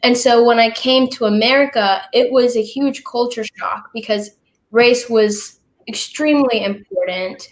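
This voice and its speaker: A young woman from Ethiopia, a recent high school graduate, thoughtfully sharing her own experiences in a panel discussion. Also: measured pace. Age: young adult. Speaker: panel guest. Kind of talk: casual discussion.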